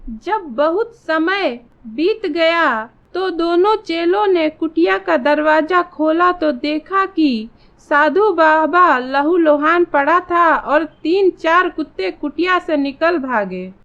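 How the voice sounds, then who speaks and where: High-pitched, slow woman storyteller. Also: animated, a bit bright. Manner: measured, storytelling. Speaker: story narrator. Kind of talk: scripted children's story narration in Hindi. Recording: slightly dull, lo-fi sound.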